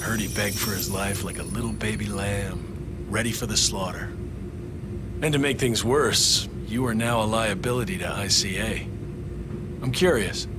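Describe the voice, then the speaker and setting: A man's voice: on the low side, normal speed, over loud music, lightly acted. A man playing a cocky, talkative agent and killer in a game, with a smug, theatrical manner. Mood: taunting. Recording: clear background noise.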